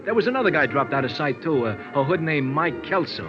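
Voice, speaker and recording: An actor playing a chatty, streetwise American bartender in a 1950s radio drama, mixing breezy slang with pompous big words. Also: male voice, fairly high pitch, sing-song, quick pace. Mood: gossipy, knowing. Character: chatty, self-improving hipster male bartender, breezy and streetwise. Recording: dull vintage radio recording with music.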